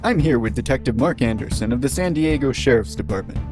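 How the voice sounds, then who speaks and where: A man's voice: medium pitch, expressive, quick pace, music in the background, close to an everyday voice. A man playing a probing news reporter in an audio drama, with polished, pointed delivery.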